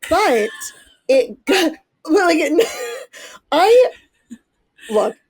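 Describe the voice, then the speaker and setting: A woman's voice: extremely high pitch, very animated, extremely slow, darkish tone, low-quality recording. A woman in her thirties hosting a podcast, chatting casually, laughing often and swearing now and then. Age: 30s. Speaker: podcast host. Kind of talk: casual chat.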